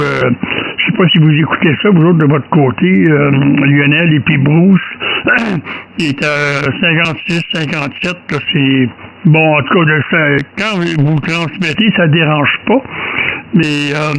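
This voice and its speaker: A man with a Quebec French accent talks very slowly in a casual amateur radio chat. He is hesitant and teasing, with a slightly high voice and a faint layer of noise and clicks. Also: vocal fry, fairly bright tone, poor audio. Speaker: amateur radio operator leading a round-table contact.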